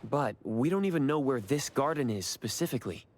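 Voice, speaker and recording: An actor playing an earnest, polite young investigator in an anime video game, speaking in a straightforward manner. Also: male voice, medium pitch, animated, fairly quick, natural voice.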